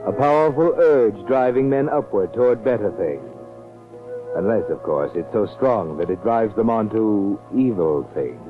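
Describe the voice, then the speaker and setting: An actor playing a mysterious, sardonic storyteller narrating a 1940s radio mystery with an ominous, deliberate manner. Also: male voice, lowish voice. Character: mysterious, sardonic male night-walking storyteller. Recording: tinny 1940s radio recording, music behind.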